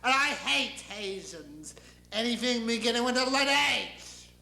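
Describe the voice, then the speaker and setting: A man's voice: extremely high pitch, measured pace, creaky, bright, forceful tone, voice pushed up, heavily acted. A man voicing a petulant, vindictive, whiny God who blusters imperiously, in a satirical stage monologue. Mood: petulant, indignant. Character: petulant, bellowing, vindictive God, male, lazily all-powerful. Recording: echoey room.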